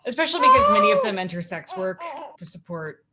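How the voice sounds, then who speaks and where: A woman co-hosting a podcast, reacting with quick, incredulous remarks and laughing easily. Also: a little high, huge pitch swings, very noisy. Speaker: podcast co-host. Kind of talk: casual chat.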